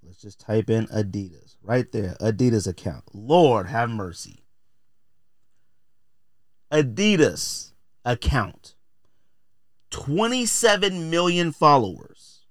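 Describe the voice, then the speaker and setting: Animated man on a dry podcast mic. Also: mid-range voice, takes it slowly, pauses often, dry recording. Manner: emphatic, repeats phrases for effect; exasperated, opinionated. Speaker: podcast host. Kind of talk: casual solo podcast rant.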